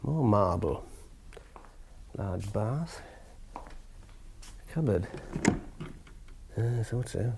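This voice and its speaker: A man's voice: on the low side, lively intonation, extremely slow, pauses often, full character voice. A wry, mocking lover who looks down on the rich. His delivery is dry and sardonic, full of muttered asides, over a noticeably hissy radio drama recording. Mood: scornful muttering. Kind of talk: radio drama acting with inner monologue.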